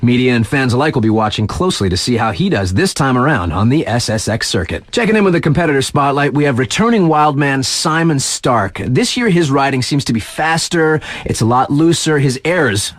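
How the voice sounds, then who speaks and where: A laid-back yet hyped radio DJ covering snowboard competition in a video game. His mid-pitched voice swoops expressively through punchy, slangy announcer patter, stretching words for emphasis. The sound is close and dry, like a radio booth. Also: big pitch swings, fairly quick, dry recording. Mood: upbeat, hyped. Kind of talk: radio DJ sports spotlight commentary.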